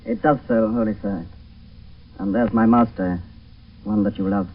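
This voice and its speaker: An actor playing a fearful, deferential servant with a gravelly voice in a radio Shakespeare play. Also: male voice, lowish voice, steady tone, moderate pace, natural voice. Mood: anxious. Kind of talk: 1970s radio Shakespeare acting. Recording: distant, tinny old radio broadcast.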